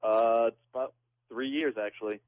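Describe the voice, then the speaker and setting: A man's voice: medium pitch, steady tone, normal speed. A man calling in to a radio show, speaking casually over a narrow telephone line. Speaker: radio caller. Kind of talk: casual phone-in conversation.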